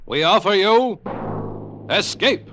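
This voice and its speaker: A man's voice: high voice, animated, slow, loud music behind the voice. An American man making an old-time radio show and sponsor announcement in a declamatory manner.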